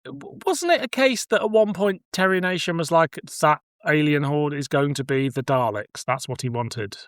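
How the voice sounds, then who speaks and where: A man's voice: a little high, moderate pace, a bit bright, no room sound, studio quality. A man hosting a podcast in a casual, conversational way, with a wryly joking manner. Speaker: podcast host. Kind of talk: casual chat.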